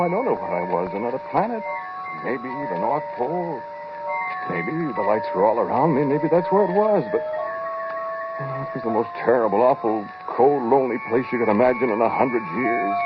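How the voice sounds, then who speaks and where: An actor playing a haunted, obsessive scientist who narrates in halting, dramatic 1940s radio horror style, with deliberate pauses. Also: male voice, medium pitch, close to an everyday voice. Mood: shaken. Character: haunted, obsessive male scientist narrating his strange experiment. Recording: dull vintage 1940s radio recording, loud music.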